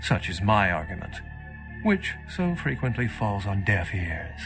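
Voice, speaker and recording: A man's voice: on the low side, very animated, a little slow, over music. A man playing an erudite, centuries-old vampire scholar in a video game, lofty, articulate and theatrical. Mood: earnest, lofty. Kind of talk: video game character acting.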